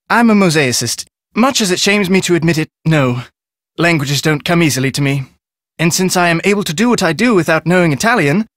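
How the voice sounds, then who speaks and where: An actor playing a proud artisan man, speaking in a formal, measured, self-deprecating manner. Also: on the high side, a bit bright, no room sound, studio quality, lightly acted.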